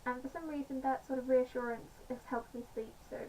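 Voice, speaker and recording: A woman with a slightly croaky voice, chatting casually in a home vlog with many pauses and fillers. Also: high-pitched, fairly even intonation, dark tone. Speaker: vlogger. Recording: distant mic, faint background noise.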